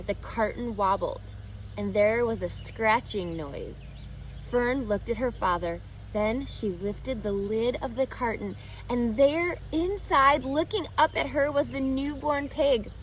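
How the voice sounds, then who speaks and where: Expressive woman reading a storybook aloud. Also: medium pitch, slight background noise, low-quality recording. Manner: expressive, animated narration. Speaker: reader.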